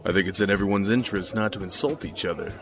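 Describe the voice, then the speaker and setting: A man's voice: deep voice, quick pace, music in the background, lightly acted. A man acting an easygoing, awkward friend keeping the peace in an audio drama, hesitant and placating.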